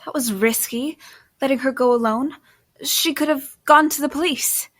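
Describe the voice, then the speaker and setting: A woman's voice: high voice, moderate pace, a bit bright. A woman playing an earnest, anxious young heroine in a first-person audio drama, with a dramatic delivery. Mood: questioning, concerned. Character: anxious young woman heroine, resourceful, narrating her own mystery.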